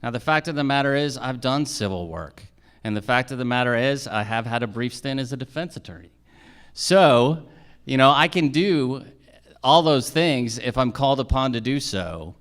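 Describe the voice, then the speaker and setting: A man's voice: mid-range voice, animated, moderate pace, a bit bright, clean tone. A man answering questions as a judicial candidate at a forum, explaining his points in a plain-spoken way.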